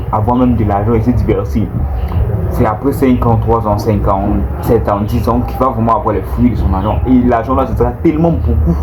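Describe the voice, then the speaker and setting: Earnest young man in a noisy room. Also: mid-range voice. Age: 20s. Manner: earnest, emphatic, repeats words while searching for them. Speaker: vlogger. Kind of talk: casual talk-to-camera monologue. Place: at home indoors with a TV playing. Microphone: built-in device mic, no separate mic. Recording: noisy home recording with TV sound behind.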